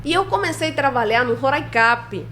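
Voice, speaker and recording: Woman, Spanish accent, high bright voice, lively storyteller, faint hiss behind. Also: moderate pace. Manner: animated, laughs often, acts out dialogue. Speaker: guest. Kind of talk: casual storytelling interview.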